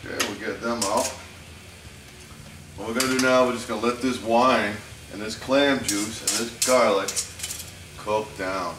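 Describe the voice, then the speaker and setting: A middle-aged man hosting a home cooking show, walking through a recipe in a relaxed, folksy way with wry jokes. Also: fairly low pitch, measured pace, pauses often, very noisy. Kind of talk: casual cooking demonstration. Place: home kitchen, pans sizzling. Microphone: camera mic from a distance. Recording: echoey home video from far off.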